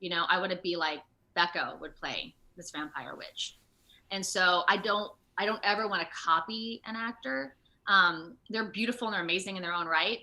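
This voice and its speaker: An American woman chatting casually as an interview guest, telling stories in an animated way. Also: fairly low pitch. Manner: animated storytelling, laughs often, acts out moments. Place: remote video call.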